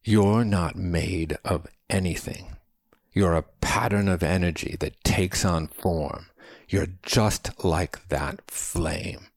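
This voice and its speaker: A man's voice: low-pitched, slow pace, gravelly, no echo, studio quality, voice pushed up, heavily acted. A man voicing a feisty, philosophical old man in an audiobook, lecturing with precise, scholarly diction. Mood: impassioned lecturing. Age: older. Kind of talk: audiobook character dialogue.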